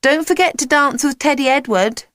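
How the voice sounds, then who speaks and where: A woman voicing a cheeky, excitable schoolboy in a children's audiobook, bold and eager. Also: on the high side, normal speed, no room sound, clean studio sound, voice pushed up. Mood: excited, calling.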